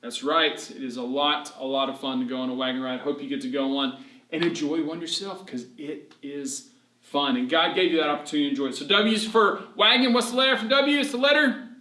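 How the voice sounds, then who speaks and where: Children's storyteller: man, high-pitched, animated and encouraging, recorded at a distance. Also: fairly quick. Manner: animated, encouraging, asks questions and answers them. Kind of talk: read-aloud story lesson for children. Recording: roomy echo, distant microphone.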